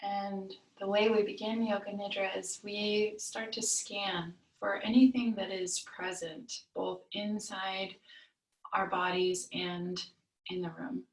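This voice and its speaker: Slow, unhurried woman guiding meditation, roomy echo. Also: medium pitch, little pitch movement. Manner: soothing, unhurried, with long pauses. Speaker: yoga nidra meditation teacher. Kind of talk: guided meditation. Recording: roomy echo, distant mic.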